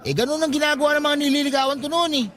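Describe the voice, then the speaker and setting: A man's voice: extremely high pitch, fairly even intonation, racing, fairly bright tone. A man playing a short, insecure, lovestruck young worker in a Tagalog radio romance, sulky and guarded in his talk. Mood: hurt. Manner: sulky, guarded, self-pitying.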